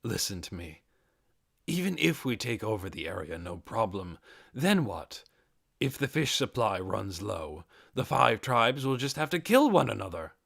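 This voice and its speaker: A man voicing a blunt, pragmatic male lizardman warrior in a fantasy audiobook, with a dark tone and firm, deliberate delivery. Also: fairly low pitch, big pitch swings, creaky, slightly rough, no echo, clean studio sound, exaggerated voice. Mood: cold, blunt. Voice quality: dark-toned.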